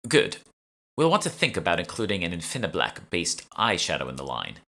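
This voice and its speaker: A man's voice: low-pitched, huge pitch swings, fairly quick, vocal fry, sharp tone, exaggerated voice. A man voicing an imperious, condescending young woman who plays a breezy heiress in an audiobook. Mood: bossy. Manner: breezy, demanding, haughty. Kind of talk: audiobook character dialogue.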